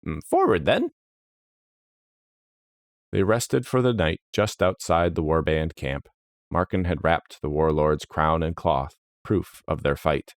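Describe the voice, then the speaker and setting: A man narrating a scripted fantasy story in a solemn, dramatic, measured manner. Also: very deep voice, dry recording, clean studio sound.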